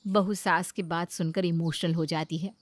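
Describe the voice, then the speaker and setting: A woman's voice: fairly low pitch, fairly even intonation, moderate pace, no echo. Female storyteller, dry studio sound. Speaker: narrator. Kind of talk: scripted comic story narration.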